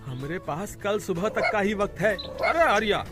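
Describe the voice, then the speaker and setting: A man voicing a cowardly, scheming village thief in an animated moral story, whiny and wheedling. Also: sits high, a little slow, over music.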